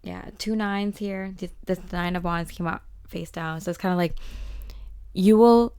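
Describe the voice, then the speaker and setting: A young woman reading tarot and channeling a forecast in a slightly raspy voice, rambling casually with many fillers and restarts. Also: lowish voice, fairly even intonation, a little slow, dark tone, no room sound.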